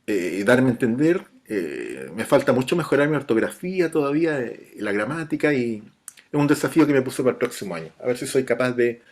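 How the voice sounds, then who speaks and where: A middle-aged man with a Chilean accent, a novelist being interviewed, talking in a relaxed, chatty way with frequent restarts. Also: mid-range voice. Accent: Chilean Spanish. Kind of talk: casual interview talk.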